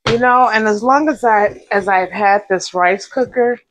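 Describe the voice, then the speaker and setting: A woman in her thirties chatting casually as she cooks in her small home kitchen, relaxed and rambling, wearing a lapel mic. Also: medium pitch, a little slow, slight background noise, rough recording. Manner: relaxed, rambling, laughs to herself. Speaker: vlogger. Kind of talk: casual cook-along chat. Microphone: clip-on lapel mic.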